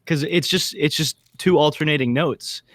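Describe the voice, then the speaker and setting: A man's voice: fairly high pitch, normal speed, a bit bright, no echo, clean studio sound. A young man co-hosting a podcast in an informal, joking, conversational way. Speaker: podcast co-host. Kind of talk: casual chat.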